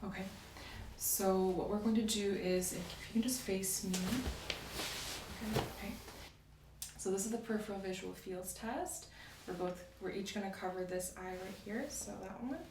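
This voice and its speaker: A soft-spoken woman in an ASMR medical roleplay, gently explaining exam steps as the examiner. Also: mid-range voice, a little slow. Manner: gentle, step-by-step clinical explaining. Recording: roomy echo, distant mic, faint noise.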